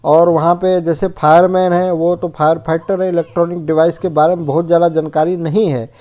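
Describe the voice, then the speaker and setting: A man's voice: fairly high pitch, little pitch movement, a little fast, a little mellow. A man giving an informal technical lesson as an instructor, explaining checklist points and often rephrasing and defining terms. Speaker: fire safety instructor.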